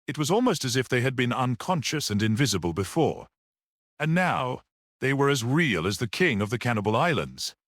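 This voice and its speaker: A man reading a literary short story aloud as an audiobook narrator in an expressive narrating style. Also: medium pitch, moderate pace, no echo, clean studio sound.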